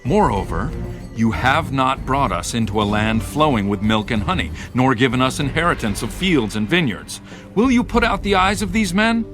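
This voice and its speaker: Casting: male actor as a bitter, challenging rebel, highly animated mid-range voice over music. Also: normal speed, natural voice. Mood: bitter, indignant. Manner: indignant, challenging. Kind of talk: dramatized scripture acting.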